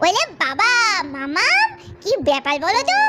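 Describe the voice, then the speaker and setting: A woman's voice: very high voice, very animated, moderate pace, loud music behind the voice. A woman voicing a cheeky, sweet little girl in a doll cartoon, talking with a babyish lisp. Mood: excited. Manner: babyish lisping talk.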